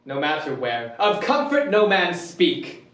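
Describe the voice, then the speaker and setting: A man performing a deposed, bitterly grand king in a theatrical Shakespearean verse monologue, declamatory and drawn-out, swinging between hushed and ringing. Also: on the high side, very animated. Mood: mournful. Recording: roomy echo, distant microphone.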